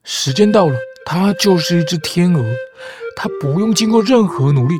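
A woman's voice: on the low side, lively intonation, normal speed. A woman hosting a comic storytelling show, lecturing in a scripted, argumentative way and ending her points with rhetorical questions. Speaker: storyteller host. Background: background music.

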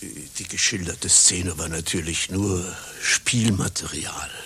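An actor in a radio satire playing an obsequious, self-pitying advertising man who flatters and persuades earnestly. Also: low-pitched, slow, slight background noise, exaggerated voice. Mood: eager pitching. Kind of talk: satirical radio play acting.

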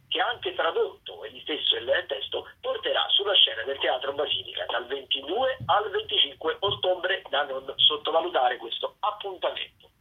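Husky radio presenter on a phone-like line. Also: mid-range voice. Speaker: radio presenter of theatre listings. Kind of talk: semi-scripted spoken rundown of theatre listings.

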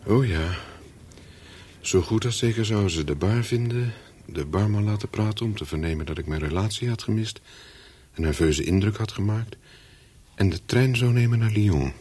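A man's voice: on the low side, darkish tone, breathy edge. An actor in a radio play as a nervous, guarded man on the run, speaking tersely and narrating his own story. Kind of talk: radio play acting with first-person narration.

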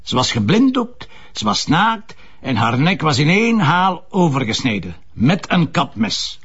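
An actor playing a headstrong, defensive police commissioner in a crime drama, with a Flemish accent and a colloquial, insistent way of talking. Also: male voice, a little high, big pitch swings, unhurried, fairly bright tone, slightly rough, highs cut off, exaggerated voice. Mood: matter-of-fact. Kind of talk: naturalistic TV crime drama dialogue.

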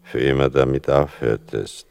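Very deep, gravelly, reflective narrator. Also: male voice, steady tone, a little mellow. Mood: reflective, tender. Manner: measured, reflective, wry. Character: son soberly recalling his mother's persecution. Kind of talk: scripted literary narration in a radio drama.

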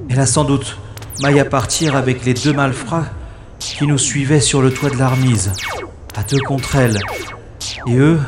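A wisecracking special agent played by a man tells his own sci-fi adventure in a theatrical audio drama style. His medium-pitched voice is wry, with prominent music playing underneath. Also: echoey room, lightly acted. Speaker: lead actor and narrator of an audio drama. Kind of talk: dramatised first-person narration, sci-fi radio play.

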